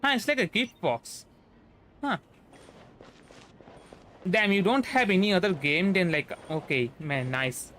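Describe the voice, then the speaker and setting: A young man with an Indian accent chatting casually and haltingly while streaming a game, often repeating words and trailing off. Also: sits high, sharp tone, a little hiss or hum. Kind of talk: casual livestream chat while playing. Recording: voice over faint game music. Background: faint game music.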